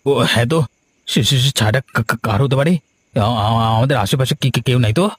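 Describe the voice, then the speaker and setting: A man's voice: mid-range voice, moderate pace, breathy edge, close to an everyday voice. An actor playing a nervous, guilty village henchman in a cartoon, hesitant and evasive. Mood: uneasy, evasive. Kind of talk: cartoon drama acting.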